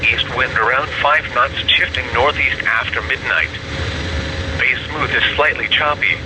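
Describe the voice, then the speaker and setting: A man's voice: medium pitch, measured pace. A synthetic-sounding man's voice reading a scripted weather bulletin over the radio in a formal, impersonal, clipped manner. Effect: radio broadcast. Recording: noisy weather radio picked up in vehicle.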